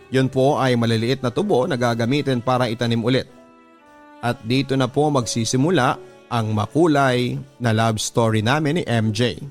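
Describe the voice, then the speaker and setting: A man with a Filipino accent narrating a scripted first-person love story in the style of a radio drama. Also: mid-range voice.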